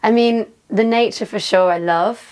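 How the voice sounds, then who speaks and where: A woman's voice: mid-range voice, moderate pace, darkish tone, clear, resonant tone. A young woman from Newcastle speaks with a Geordie accent as an interview guest. She talks casually and chattily, scattering "um" and "like" through her answers. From Newcastle, England. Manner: chatty, fillers like "um" and "like".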